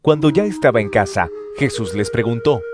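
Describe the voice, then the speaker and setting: A man's voice: medium pitch, expressive, normal speed, background noise. A man narrating scripture for an audio drama in a scripted storytelling style.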